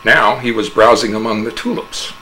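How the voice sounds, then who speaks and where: A man narrating a humorous fable as a dramatic oral interpretation, with theatrical, measured storytelling. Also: lowish voice, bright, forceful tone, a little hiss or hum, rough recording.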